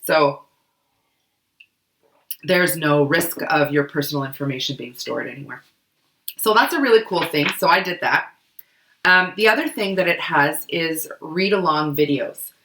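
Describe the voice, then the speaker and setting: Hoarse woman with a cold, echoey room. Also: sits low, unhurried, pauses often, fairly bright tone. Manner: chatty, loose, many fillers. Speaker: book club podcast co-host. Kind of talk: casual explanatory chat.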